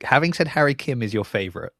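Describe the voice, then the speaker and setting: A man's voice: mid-range voice, sing-song, quick pace, creaky, a bit bright, no room sound, clean studio sound. A man hosting a podcast in a casual, conversational interview style. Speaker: podcast host.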